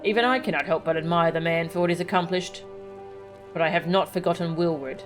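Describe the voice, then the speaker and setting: A woman's voice: fairly low pitch, a little fast, over music, natural voice. A woman voicing a grave, dignified, wary settlement leader from a video game, speaking solemnly and formally. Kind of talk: reading video game dialogue aloud.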